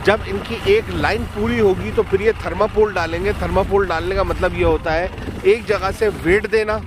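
A Pakistani man in his thirties walks viewers through a fishing trip in a high voice, slipping English terms into his explanations. He talks into a handheld phone on an open boat, and loud engine noise runs under him. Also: steady tone, normal speed. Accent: Pakistani Urdu. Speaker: fishing vlogger. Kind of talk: explaining while filming.

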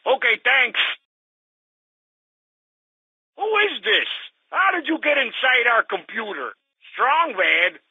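Over a tinny telephone line comes a cheesy game company boss, with a very husky, high voice that swings widely. He is salesy, hammy and easily exasperated, a cartoon huckster in a comedy video game. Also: big pitch swings, a little slow, sharp tone, no echo, heavily acted. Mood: offhand, resigned. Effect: telephone filter. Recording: tinny narrow phone-like line.

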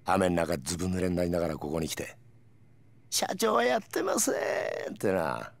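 An actor playing a gruff, menacing middle-aged police detective in a video game cutscene, speaking in a rough, blunt way. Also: male voice, deep voice, huge pitch swings, normal speed, no echo, exaggerated voice. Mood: sardonic.

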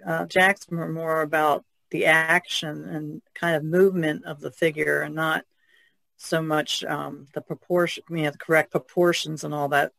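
A woman's voice: low-pitched, level tone, normal speed, vocal fry, fairly bright tone, no room sound. A woman speaking as a panelist in a casual podcast chat.

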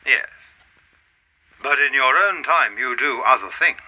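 An actor playing a mysterious, coolly menacing official in a radio play, with a formal, measured, insinuating manner. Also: male voice, mid-range voice, animated, husky, dull audio. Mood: knowing, condescending.

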